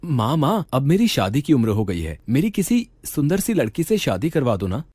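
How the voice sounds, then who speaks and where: A man's voice: medium pitch, very animated, normal speed, a little mellow, breathy edge, no echo, studio quality. A man voicing a spoiled, idle young village son who is lovestruck and whiny, in a cartoon story. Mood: whining.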